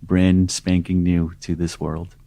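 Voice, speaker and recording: A man originally from Pakistan, a native Urdu speaker, answering interview questions as an IT executive in a calm, matter-of-fact way. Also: low-pitched, slow, gravelly, dry recording. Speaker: guest, IT executive. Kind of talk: conversational interview.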